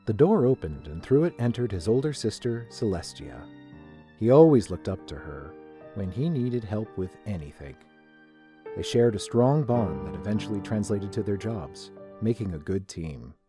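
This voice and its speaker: Casting: male narrator for scripted fiction, mellow tone, animated intonation. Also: on the low side, moderate pace, faint music behind.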